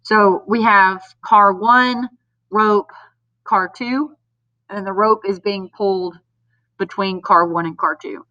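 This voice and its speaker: A woman's voice: medium pitch, pushed and bright, dry recording, distant mic. A woman teacher, sounding nasal from a cold, patiently explaining homework to parents step by step with frequent ums. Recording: dull lo-fi sound a bit off mic.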